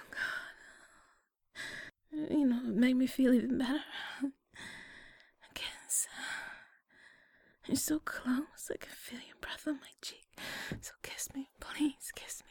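A woman in an intimate audio roleplay, speaking close to the mic in soft, breathy whispers and sighs. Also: high-pitched, slow pace, long pauses, no room sound.

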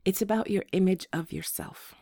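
A woman with a rough voice, hoarse from a flu, hosting a gentle and reassuring advice talk to her listeners. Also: mid-range voice, normal speed, no echo, clean studio sound. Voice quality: rough, slightly congested voice. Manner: gentle, reassuring, restarts phrases. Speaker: advice show host.

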